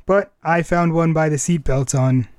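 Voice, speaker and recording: A man with a fairly high voice hosts a podcast in a casual, conversational way. The sound is dry and close, and his delivery is informal, a bit halting when he improvises. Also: a little mellow, no room sound. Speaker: podcast host.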